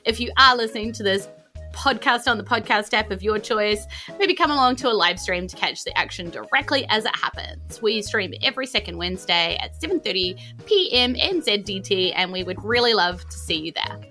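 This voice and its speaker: A woman with a New Zealand accent, hosting and narrating a tabletop game podcast in a playful, expressive, enthusiastic way. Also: medium pitch, brisk, faint music behind. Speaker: game master and podcast host.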